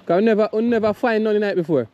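A man's voice: sits high, very fast, a little mellow, highs cut off. A Jamaican man talking casually in patois as he walks through a flooded neighbourhood and questions the locals. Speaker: vlogger touring a flooded area. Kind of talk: casual walk-and-talk vlog.

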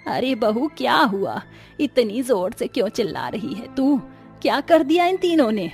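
A woman's voice: a little high, sing-song, a little slow, soft background music. A woman voicing an older, practical village mother-in-law in a cartoon story, chiding and exclamatory. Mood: scolding. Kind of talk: Hindi cartoon story acting.

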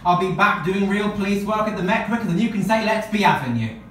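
A woman's voice: medium pitch, expressive, fast, close to an everyday voice. Scheming, smug police officer, acted by a young woman, formal murder-mystery monologue, very echoey recording. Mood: smug, gloating. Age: young adult. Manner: gloating, formal. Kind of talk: reading a murder mystery party script. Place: small room at a party. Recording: echoey room, distant camera, background noise.

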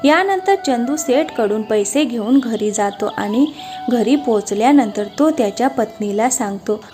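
A woman's voice: fairly high pitch, expressive, unhurried, darkish tone, soft background music. A woman narrating a scripted moral story as its storyteller.

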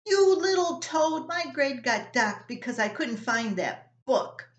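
A woman's voice: high-pitched, animated, slow pace, echoey room, dull audio, voice pushed up. An older woman voicing an angry, bossy teenage older brother in a family story, scolding and bellowing.

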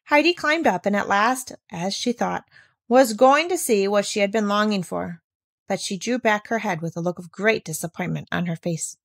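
A woman narrating a children's storybook aloud as an audiobook, with expressive delivery. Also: medium pitch, normal speed, gravelly, no echo, studio quality.

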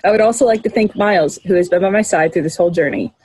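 A woman's voice: on the low side, racing, darkish tone, husky, no echo. A woman in her twenties, a graduating student, recording a thank-you message on a phone at arm's length. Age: 20s.

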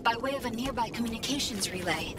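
A woman voicing an eager, formal ancient alien AI guide who talks in a fussy, precise way, over loud game music. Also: fairly high pitch, fast talker, close to an everyday voice. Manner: formal, fussy, easily excited.

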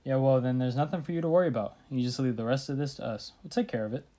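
A woman's voice: very deep voice, rapid-fire, dark tone. A woman playing a bored, brusque police desk sergeant in an old-style radio thriller. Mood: impatient. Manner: brusque, placating, weary.

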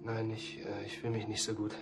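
An actor playing a shaken, evasive young police officer in a TV crime drama. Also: male voice, lowish voice, monotone, quick pace, loud music behind the voice, natural voice.

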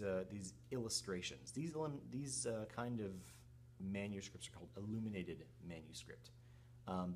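A middle-aged man teaching history in an informal, halting, explanatory recorded lecture. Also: deep voice, a little mellow. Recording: clear background noise with hum.

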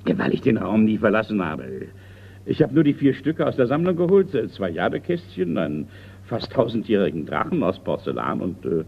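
An actor playing a flustered elderly retired colonel in a radio crime play, halting and repeating himself. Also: male voice, lowish voice, sing-song, gravelly, breathy edge, full character voice. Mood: flustered, defensive. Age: older. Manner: hesitant, halting with repetitions. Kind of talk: German radio drama acting. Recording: dull, noisy radio recording with hum.

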